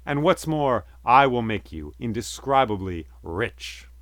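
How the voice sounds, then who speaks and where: A man voicing a giant male genie in a spoken folktale, pleading in a high voice while trapped and speaking gravely once free. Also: expressive, measured pace, fairly bright tone, dry recording, studio quality. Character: pleading then solemn male genie, huge.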